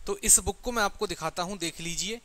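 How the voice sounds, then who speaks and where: A man's voice: sits high, a little fast, sharp tone. A man giving an informal promotional walkthrough of study books, repeating himself and checking in with the listener.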